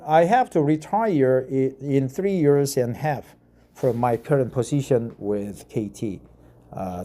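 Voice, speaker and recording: Man with Korean accent, 50s, reading aloud, dark warm tone, hesitant, fillers. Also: mid-range voice. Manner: halting, many fillers and restarts, asks "right?". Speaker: YouTube reader of articles. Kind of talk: reading an article aloud with commentary.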